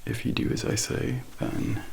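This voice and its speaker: A man in an ASMR role play, half whispering in a deliberate, hushed and controlling manner. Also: slight background noise.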